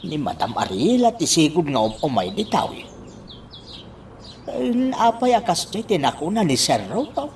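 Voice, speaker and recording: A man plays an earnest, deferential farm worker in a radio drama. His voice is fairly high and very lively, with faint noise behind it. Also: normal speed. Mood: puzzled musing. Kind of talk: Ilocano radio drama acting.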